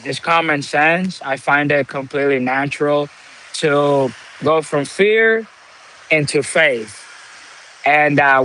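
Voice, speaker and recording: Slow, preachy man. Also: fairly high pitch, sharp tone, slight background noise, rough recording. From Dominican Republic. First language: Spanish. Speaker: livestream host. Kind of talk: motivational live-stream talk.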